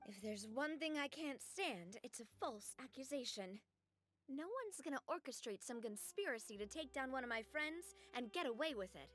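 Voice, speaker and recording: Bold young gang boss, high, very expressive, loyal. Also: female voice, soft background music. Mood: confident. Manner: animated and protective, quick to anger, laughs it off. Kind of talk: game voice acting.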